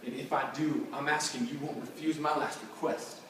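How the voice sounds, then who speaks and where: A man's voice: fairly high pitch, steady tone, lightly acted. A man voicing a freezing, dying gold prospector from a ballad, with a pleading manner. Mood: plaintive, pleading. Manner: pleading, halting moan. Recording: very echoey, distant, noisy room.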